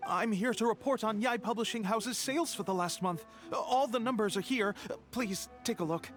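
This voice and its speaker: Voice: medium pitch, normal speed, fairly bright tone, soft background music, natural voice. An actor voicing a dutiful, deferential publishing employee in a video game, formal and businesslike. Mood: earnest, reporting.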